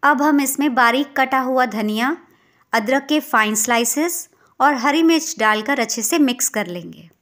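High-pitched woman narrating a recipe. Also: animated, normal speed, a bit bright. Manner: instructional, step-by-step. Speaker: cooking channel host.

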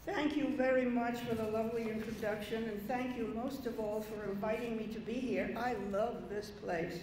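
An older woman from the Dominican Republic, speaking at a podium before a poetry reading, unhurried and anecdotal with small asides. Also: fairly high pitch, fairly even intonation, darkish tone. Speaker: poet giving a reading. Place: large conference hall, at a podium. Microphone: podium mic on a gooseneck stand. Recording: very echoey hall with faint noise.

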